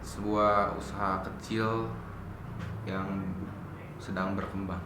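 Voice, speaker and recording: A young man giving short, halting answers in a casual interview. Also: deep voice, little pitch movement. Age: young adult. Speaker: interviewee, student entrepreneur. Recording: echoey room, noisy background.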